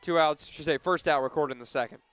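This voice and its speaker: A man doing live play-by-play for a softball radio broadcast outdoors, punchy on big plays and conversational between them. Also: a little high, fast. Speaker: play-by-play sports announcer.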